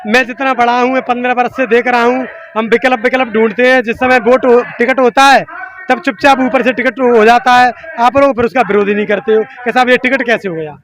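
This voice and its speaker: A man's voice: very high-pitched, fairly even intonation, a little fast. A man doing informal political street interviews, pressing people with probing questions. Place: outdoor public ground among a crowd. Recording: loud crowd around an outdoor microphone.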